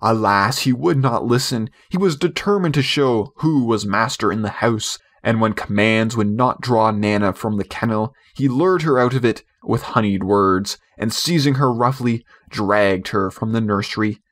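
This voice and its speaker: A man reading scripted literary storybook narration in a measured, expressive way. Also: lowish voice. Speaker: audiobook narrator.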